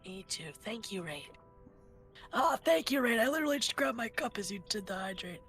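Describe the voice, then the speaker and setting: A woman's voice: on the high side, lively intonation, a little fast, a bit bright. A woman co-hosting a game livestream, chatting casually and reading story lines aloud. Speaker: livestream co-host reading along. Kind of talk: casual stream chat and read-aloud. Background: music.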